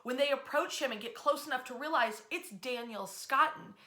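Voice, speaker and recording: An American woman telling history stories in an informal, conversational way, with dramatic pauses and emphasis. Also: sits high, bright, forceful tone. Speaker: history storyteller, host. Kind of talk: informal narrated history storytelling. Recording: echoey room sound.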